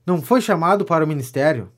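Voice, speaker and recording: A man acts out a self-important gentleman of letters in a period comedy audio drama. He has a Brazilian accent and a fairly high male voice, and he declaims pompously, lavish with flattery. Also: fast talker. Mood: self-satisfied, fawning. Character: vain, self-important gentleman pamphleteer, pompous political know-it-all. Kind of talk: audio drama of a 19th-century stage comedy.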